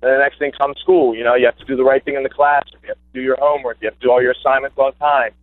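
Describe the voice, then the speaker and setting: A man's voice: mid-range voice, flat delivery, very fast, dry recording. A young man calling in as a guest, talking eagerly with lots of fillers and restarts. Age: young adult. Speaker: guest, former high school basketball player. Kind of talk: casual phone interview. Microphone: phone call. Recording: tinny phone line.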